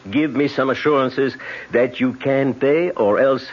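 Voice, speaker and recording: A man's voice: medium pitch, steady tone, unhurried, lightly acted. A man playing a suave, fatherly French casino manager who is wary and apologetic, in old-time radio drama acting. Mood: grave, cautioning. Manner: smooth-mannered, hesitant, fatherly. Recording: muffled old radio recording.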